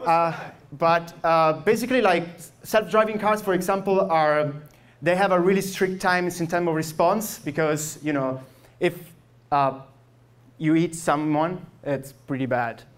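A young man with an Italian accent, speaking English as a second language, gives a meetup tech talk into a handheld microphone, halting with many fillers and restarts. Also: a little high, steady tone. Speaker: software engineer giving a meetup talk. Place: meetup room with projector, audience.

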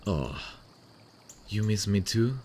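A man playing a sweet, devoted long-distance boyfriend in an intimate ASMR roleplay, speaking tenderly and soothingly with hesitant pauses. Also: on the low side, animated, a little mellow, a little hiss or hum. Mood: shy, longing. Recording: faint running-water nature sounds behind. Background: stream nature sounds.

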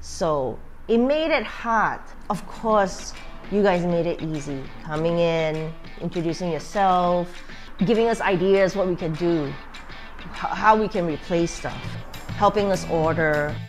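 Earnest low-voiced woman from Malaysia. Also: unhurried, soft background music. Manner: conversational, earnest. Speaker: tea room owner. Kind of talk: interview testimonial. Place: her tea restaurant dining room.

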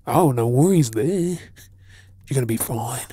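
An Australian man playing a kind, reassuring rescuer in an intimate ASMR role-play, speaking softly and soothingly. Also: mid-range voice, lively intonation, no room sound. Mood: encouraging. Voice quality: soft, breathy. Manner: gentle, soothing, with pauses. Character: kind, reassuring male rescuer tending an injured woman.

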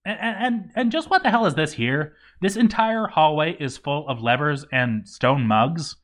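A man's voice: a little high, lively intonation, moderate pace, a bit bright. A man voicing a grouchy, boozy zombie dwarf overseer in a game journal story, stammering and exasperated. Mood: exasperated, baffled. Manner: stammering, exasperated, hammy delivery. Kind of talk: dramatic reading of a game journal.

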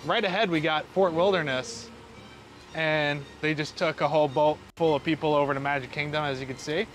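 A man's voice: fairly high pitch, steady tone, a little fast, a bit bright, faint music behind, rough recording. A man chatting casually as a vlog companion out on a boat, easygoing and joking as he points out sights. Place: outdoors on a boat on a lake. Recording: outdoor recording with motor hum.

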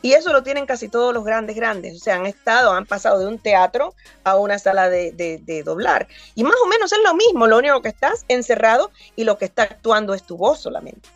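A Cuban-born woman in her fifties, a voice actor, telling stories in an animated interview over a video call. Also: fairly high pitch, a little fast, no echo, lo-fi sound, faint music behind. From Cuba. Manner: animated, gestures a lot while talking. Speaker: voice actor guest. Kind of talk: remote interview, storytelling.

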